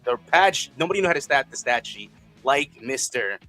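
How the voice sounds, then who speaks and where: A man's voice: mid-range voice, expressive, a little fast, bright, forceful tone, faint music behind, low-quality recording. A man on a sports panel who talks casually and repeats phrases as he builds his point.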